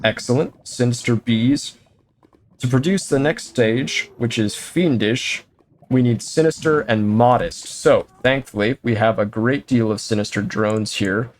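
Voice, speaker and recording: A man talking his way through a game session in a loose, conversational way, speaking partly to himself and partly to the game. His voice sits in an ordinary middle pitch, and he breaks into quick excited exclamations and wry jokes. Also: a little hiss or hum. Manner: chatty, talks to himself and to the game, jokey asides. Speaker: let's play host. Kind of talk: casual gameplay commentary.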